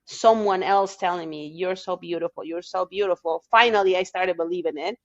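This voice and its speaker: A young woman from Peru with a Peruvian Spanish accent, chatting as a podcast guest and acting out quotes in a higher, excited voice. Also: a little fast, highs cut off. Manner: animated storyteller, acts out quotes in a higher voice, laughs often. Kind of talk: casual podcast conversation.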